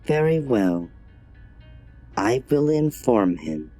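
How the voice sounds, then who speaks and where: A man voicing a formal, emotionless artificial intelligence in a sci-fi audio drama, polite and measured. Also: medium pitch, very animated, mellow, faint music behind. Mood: polite, unmoved. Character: polite, detached trauma-assistance artificial intelligence serving its company.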